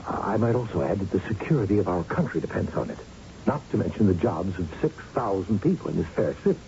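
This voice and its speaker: An actor playing a condescending, evasive, corrupt middle-aged factory boss in a 1970s-style radio drama. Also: male voice, lowish voice, animated, quick pace, vocal fry, warm tone. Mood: smug, patronizing. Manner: evasive, patronizing, rhetorical. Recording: dull, noisy old radio recording.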